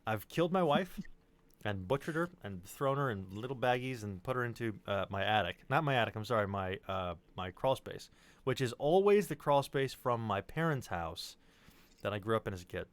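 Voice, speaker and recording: A man's voice: fairly low pitch, lively intonation, normal speed, dry recording. A man chatting casually and telling personal stories in a rambling way, often correcting himself. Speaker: podcast cast member. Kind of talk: casual chat, personal storytelling.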